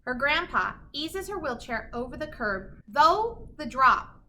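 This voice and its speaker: A woman reading a children's picture book aloud in an animated, emphatic storytelling manner. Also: high-pitched, a little slow, a little hiss or hum. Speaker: children's story reader, teacher.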